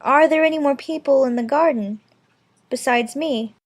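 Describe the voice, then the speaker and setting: A woman's voice: sits high, normal speed, voice pushed up, lightly acted. A woman voicing a polite, curious little girl in a storybook, with an earnest manner. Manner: earnest, questioning. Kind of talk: storybook character voice.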